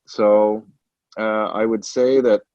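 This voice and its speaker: A man giving unscripted market commentary as a portfolio manager, haltingly, repeating words as he thinks aloud on a conference call. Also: fairly low pitch, little pitch movement, darkish tone. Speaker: portfolio manager, currency specialist. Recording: dull, roomy conference call line.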